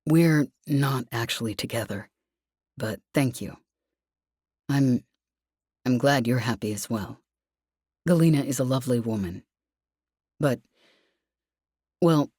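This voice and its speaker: A woman voicing a gentle, apologetic man, soft-spoken and sincere, in an audiobook story. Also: extremely low pitch, breathy edge, no room sound, clean studio sound, pitched below the performer's own voice, exaggerated voice. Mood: rueful, apologetic. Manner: halting, soft-spoken, rueful.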